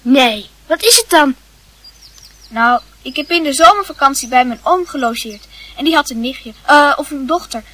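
A woman playing a cocky schoolboy in a radio play, eager to tell secrets in a boastful, halting way. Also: high-pitched, lively intonation, fairly bright tone. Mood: eager, secretive.

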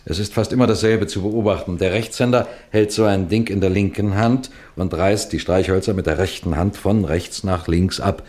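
An actor playing a seasoned, methodical detective chief in a 1960s German radio crime drama, lecturing with deliberate precision. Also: male voice, deep voice, fairly even intonation, darkish tone, close to an everyday voice. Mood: measured explaining.

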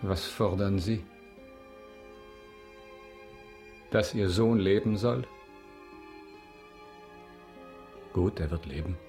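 Avenging nobleman, low voice, stern, bitter, formal delivery, radio play. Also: a little mellow, soft background music. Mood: grimly resigned. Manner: formal, grave, measured. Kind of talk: German radio drama acting.

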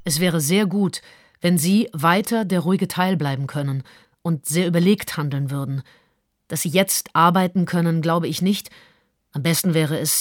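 Woman acting frank lady giving firm advice, lowish voice, calm measured radio drama delivery. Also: dry recording, studio quality, natural voice. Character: composed adult female adviser, frank and firm. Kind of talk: German radio play.

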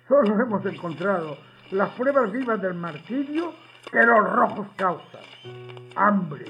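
A man playing a boastful, sneering fascist general giving a 1930s wartime propaganda address on the radio. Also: high-pitched. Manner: boastful, sneering, mock-sincere. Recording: dull, band-limited old radio sound.

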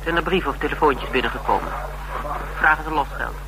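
A man acting a shrewd private investigator in a radio play, pitched fairly high. The lines are probing and teasing, with a sardonic, money-minded edge. There is clear noise on the recording. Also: quick pace, natural voice. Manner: probing short questions, sardonic banter. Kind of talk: Dutch radio drama dialogue. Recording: noisy radio drama recording.

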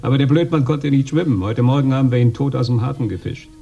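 Male actor as cocky expat import-export man for radio play: medium pitch, warm dark tone, chummy, condescending. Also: quick pace, gravelly, highs cut off, lightly acted. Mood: businesslike, casual. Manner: chummy, swaggering, colloquial. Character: brash, jovial, condescending male European trade agent. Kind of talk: radio drama dialogue.

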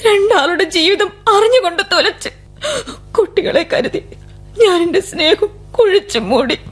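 A woman's voice: very high-pitched, animated, a little slow. An actress playing a young daughter who defies her father, trembling and pleading, sobbing at times. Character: defiant young daughter, torn and tearful. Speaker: actor in radio drama. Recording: old AM radio broadcast.

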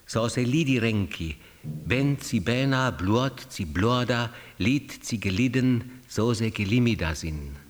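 An actor in a radio drama voicing a solemn man reciting an ancient spell in measured, rhythmic phrases. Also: mid-range voice. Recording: long cavernous reverb.

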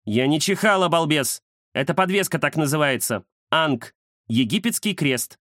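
A man's voice: fairly high pitch, expressive, moderate pace, a bit bright, no echo, studio quality, voice pushed up. A man voicing a grumpy, bossy female cat who scolds and threatens haughtily in a children's story. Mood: gruff, grudging.

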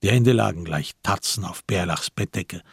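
A male narrator of a radio crime drama with a fairly low, husky voice. He reads scripted prose in a measured, literary way, recorded dry and close. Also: dry recording.

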